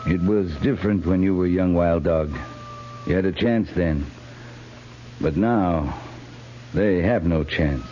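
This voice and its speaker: An actor playing a steady, dry cavalry captain in a 1950s radio western drama. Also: male voice, sits low, slow, pauses often. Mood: grave persuasion. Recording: muffled, hissy 1950s radio recording.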